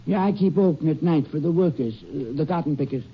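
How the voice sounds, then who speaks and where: A man's voice: on the high side, brisk, a little hiss or hum, natural voice. An actor playing a nervous, eager-to-please male small-town store owner who hesitates a lot, in a 1950s radio drama. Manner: hesitant, with many uhs. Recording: dull old radio recording.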